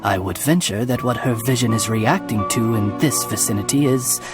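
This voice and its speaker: A man voicing a dignified, courtly familiar in a video game, formal, measured and deferential to his lady. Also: mid-range voice, lightly acted. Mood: courteous. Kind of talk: video game character acting. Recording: game music behind the voice.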